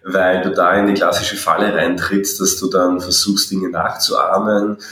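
A man who trains people in NLP, talking persuasively and directly to the listener in a relaxed conversation. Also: sits low, unhurried, far from the mic. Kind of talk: casual podcast conversation. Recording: roomy echo, away from mic.

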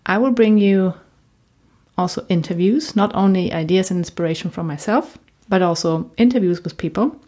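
A woman's voice: mid-range voice, moderate pace, mellow. A woman from Germany, a native German speaker with a German accent, presenting a solo podcast as host and coach in a conversational way.

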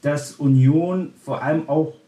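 A Berlin-born man hosts a casual football podcast, talking in a loose, chatty way, restarting sentences and filling pauses as he thinks aloud. His voice is dark and mellow, and the recording sits in a noticeably echoey room. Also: a little high. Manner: chatty, hesitant, many restarts and fillers. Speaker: podcast host.

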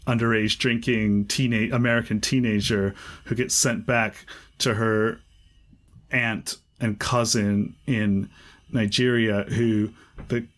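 A man's voice: lowish voice, slow. A man in casual conversation about a story collection, talking with enthusiasm and lots of fillers. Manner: animated, enthusiastic, many fillers like "like".

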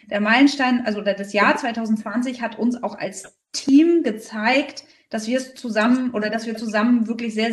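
Woman from berlin, bit high voice, emphatic interview talk, echoey and off the mic. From Berlin, Germany. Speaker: startup founder being interviewed. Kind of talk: conversational interview answers. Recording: echoey room, distant microphone.

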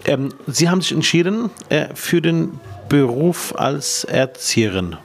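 A man in his forties, a politician, asking friendly, curious questions in a relaxed interview at a daycare centre. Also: on the high side, unhurried, gravelly, soft background music. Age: 40s. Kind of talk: casual interview.

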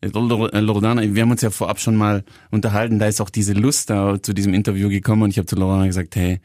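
A man's voice: on the low side, flat delivery, racing, darkish tone, no echo, clean studio sound. A man co-hosting a podcast in a casual, conversational style. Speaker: podcast co-host.

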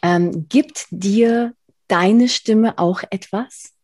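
A woman's voice: mid-range voice, drawn-out words, no room sound. Chatty woman host, dry close sound. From Spain. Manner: chatty, laughs often, warm and effusive. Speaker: podcast host. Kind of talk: casual interview chat.

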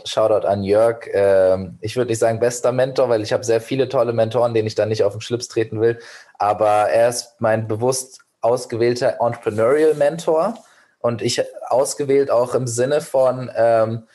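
A young man, a founder being interviewed. His voice sits at an ordinary pitch while he gives long, winding, earnest answers. He is full of fillers and quick restarts. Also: fairly even intonation, mellow. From Germany. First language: Persian. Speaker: startup founder, guest. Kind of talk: podcast interview.

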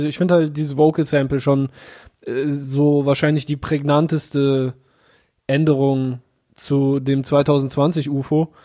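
A man's voice: a little high, warm tone. A young man in casual chat, hesitant and searching for words. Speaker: podcast co-host.

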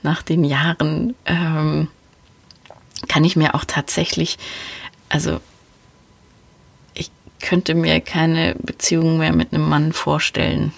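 A woman's voice: deep voice, flat delivery, slow pace, creaky, long pauses, no echo. A woman hosting a podcast, talking casually and thinking aloud as she answers listener questions. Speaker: podcast host.

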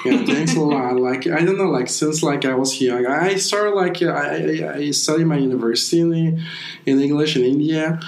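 A man's voice: on the high side, normal speed. A young man from Afghanistan who is a native Persian speaker, chatting casually in an interview and filling his sentences with "like". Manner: rambling, leans heavily on "like" as a filler. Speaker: interview guest. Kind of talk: casual chat. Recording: roomy, echoey room.

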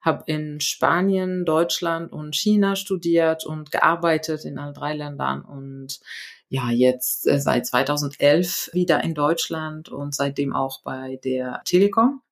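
Woman from Morocco, remote interview guest, animated, halting with fillers. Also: sits low, gravelly. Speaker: guest author. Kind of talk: interview answers. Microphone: remote connection.

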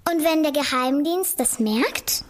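A young child in a radio play, with a very high voice. The child is curious and keeps pressing with simple, earnest questions. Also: female voice, measured pace. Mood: curious, insistent.

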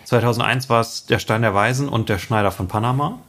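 Ein Mann als Co-Host eines deutschen Filmpodcasts, der in lockerem Gesprächston mit vielen Füllwörtern und Neuansätzen Hintergründe zu einem Film erklärt. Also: male voice, mid-range voice, fairly bright tone. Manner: explains with many fillers, pauses and restarts. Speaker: podcast co-host. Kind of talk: casual film podcast chat.

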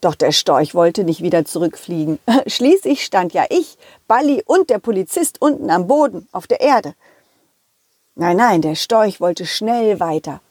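A woman's voice: on the low side, very animated, measured pace, darkish tone, no room sound, clean studio sound. A woman voicing a confident, loyal, friendly dog who tells children's audio stories and speaks straight to young listeners. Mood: excited storytelling. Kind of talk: children's audio play narration.

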